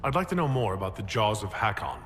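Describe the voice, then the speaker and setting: An actor playing a composed, courteous leader in a fantasy video game, asking questions in a measured, businesslike way. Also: male voice, on the low side, big pitch swings, fairly bright tone, big-room sound, faint music behind. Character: composed male leader of an inquisition, courteous and purposeful. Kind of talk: video game dialogue acting.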